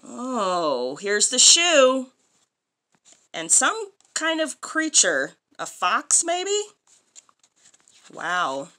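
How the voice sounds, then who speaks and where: Female picture-book reader, thirties: higher-pitched, very animated intonation, expressive child-directed delivery. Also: extremely slow, long pauses. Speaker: storyteller reading a children's picture book aloud. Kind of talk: read-aloud to a young child, with questions and commentary. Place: small furnished room indoors.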